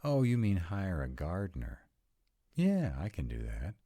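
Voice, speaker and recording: A man's voice: sits low, wildly swooping pitch, measured pace, dark tone, husky, no echo, full character voice. A man playing a sarcastic, reluctant but devoted vampire mate in a scripted roleplay audio drama, with a dry manner. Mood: casual, curious.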